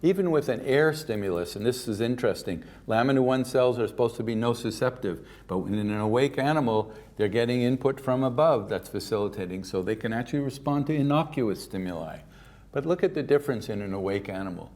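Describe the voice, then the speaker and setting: Older man giving a lively science lecture. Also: mid-range voice. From Canada. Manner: lively, informal, digresses with asides. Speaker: keynote speaker.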